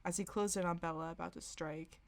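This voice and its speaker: A woman with a slightly low voice hosts a comedy podcast. She reads fan fiction aloud as mock-serious drama. Amusement often colours the narration. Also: steady tone, fairly quick, vocal fry. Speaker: podcast host.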